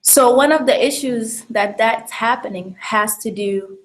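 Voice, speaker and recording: A young adult woman from South Sudan speaking to camera for a campaign, measured and unhurried, with frequent ums. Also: a little high, rough recording. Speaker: model and education advocate. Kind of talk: direct-to-camera campaign talk.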